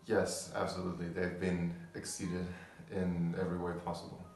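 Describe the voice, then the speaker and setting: A man in his twenties from Spain, a student answering interview questions calmly. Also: low voice, moderate pace, vocal fry, very echoey, far from the mic, slight background noise. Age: 20s. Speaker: exchange student. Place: indoors, small room.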